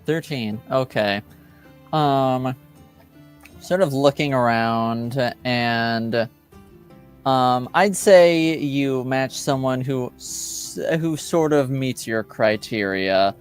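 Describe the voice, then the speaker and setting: Clear-voiced, halting male game master. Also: mid-range voice, faint music behind. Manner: hesitant, halting, casual. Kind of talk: improvised tabletop game narration.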